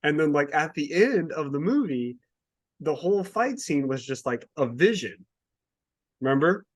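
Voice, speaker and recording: Animated man ranting on a podcast. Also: on the high side, moderate pace, no echo, studio quality. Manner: swears casually. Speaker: podcast co-host. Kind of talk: casual book review chat.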